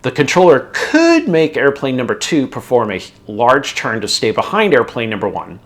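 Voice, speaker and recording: A man narrates a scripted lesson as an instructor would, moving methodically from point to point. His intonation is animated, rising and falling widely, and he puts firm emphasis on words that turn the explanation. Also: medium pitch, moderate pace. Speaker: instructor, video host.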